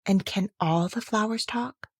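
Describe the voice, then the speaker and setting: A woman's voice: mid-range voice, measured pace, a little mellow, husky, no room sound, pitched above the performer's own voice. Curious little storybook girl played by a woman, polite, timid, questioning, dry close recording.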